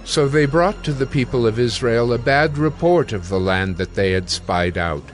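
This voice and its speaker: Male narrator, scripted audio Bible, solemn measured storytelling, medium pitch, soft music bed. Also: lively intonation.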